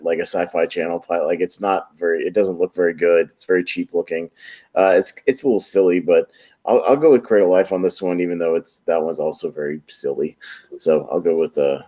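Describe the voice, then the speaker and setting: A man's voice: sits low, darkish tone. A man chatting casually as a panelist on a movie show, with a blunt manner. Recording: dull, lo-fi audio.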